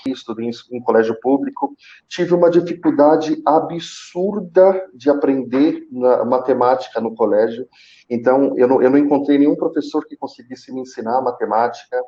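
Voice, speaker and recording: Halting Brazilian man, softly dulled tone. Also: mid-range voice, highs cut off. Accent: Brazilian Portuguese. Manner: halting, with repeated words and drawn-out pauses. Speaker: guest, call center senior manager. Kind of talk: conversational personal account in a live interview.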